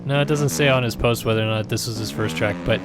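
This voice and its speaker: A man hosting a gaming channel, talking through gameplay in a casual, rambling way, his voice raspy and tired. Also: mid-range voice, fast talker, very noisy. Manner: rambling, filler-heavy, easygoing.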